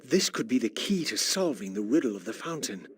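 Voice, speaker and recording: An actor playing a brooding, aristocratic vampire who narrates his thoughts in a formal, measured, portentous way for a video game. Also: mid-range voice, sing-song, background noise, lightly acted. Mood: solemn, brooding. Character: brooding, solemn male vampire, weary and aristocratic. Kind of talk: scripted video game narration, introspective monologue.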